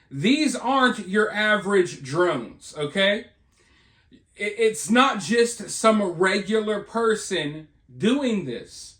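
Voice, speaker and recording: High-pitched American man in an echoey room. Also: fairly bright tone. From United States. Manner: conversational and rhetorical, checking in with listeners. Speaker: online video commentator and channel host. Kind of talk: informal speculative news commentary. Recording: roomy, echoing voice recording.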